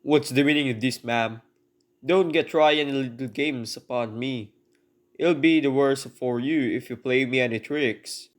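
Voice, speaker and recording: A man's voice: mid-range voice, measured pace, close to an everyday voice. Man acting as a desperate, pleading burglar. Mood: wary, suspicious. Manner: formal, pleading old-fashioned speech. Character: desperate, penniless burglar confessing his crime, polite but wary. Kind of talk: dramatic first-person storytelling in a radio play.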